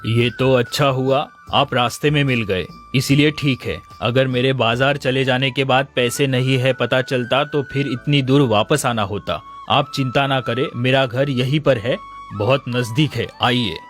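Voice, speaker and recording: A man plays a smooth-talking village sheep swindler from an animated moral tale. He is glib and puts on innocence, in a medium-pitched voice with music playing underneath. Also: measured pace, natural voice. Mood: glib, friendly. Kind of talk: Hindi animated moral story dialogue.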